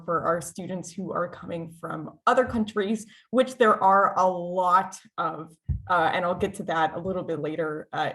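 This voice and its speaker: A woman's voice: fairly low pitch, fairly bright tone. An American woman presenting her school on a video-call webinar, upbeat and chatty, with frequent filler sounds and restarts. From United States.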